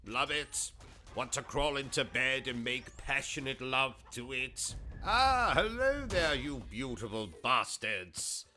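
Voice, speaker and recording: A man's voice: medium pitch, sharp tone, heavily acted. A man with a British accent playing a pompous, rude, deranged posh gamer who hosts a gameplay stream and talks in a haughty, mock-grand way with rude outbursts. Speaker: gaming streamer persona. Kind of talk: comedic gameplay commentary.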